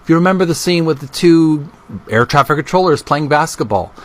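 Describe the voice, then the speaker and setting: A man hosting a casual vlog, talking and reading aloud in an earnest, deliberate way with drawn-out emphasis. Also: on the high side, expressive. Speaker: video host. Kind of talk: casual vlog narration with book read aloud.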